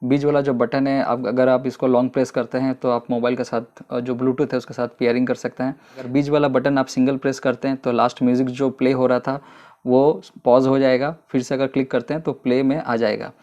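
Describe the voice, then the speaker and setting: A man giving a casual, unhurried product review in a vlog, explaining step by step with frequent fillers. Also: medium pitch, level tone, darkish tone, dull audio.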